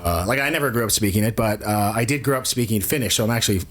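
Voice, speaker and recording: A man's voice: on the low side, racing. A man hosting a podcast, chatting casually and explaining things at length. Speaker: podcast host. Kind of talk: casual chat.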